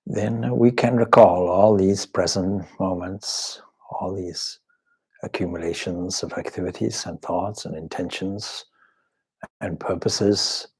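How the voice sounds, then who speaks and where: A man speaks in a low, very husky voice as he guides a meditation. His delivery is slow and reverent, with short phrases separated by pauses, in the manner of a spiritual teacher. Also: steady tone, darkish tone, low-quality recording. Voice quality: husky, breathy. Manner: measured, reverent, pausing between short phrases. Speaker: swami leading a guided meditation.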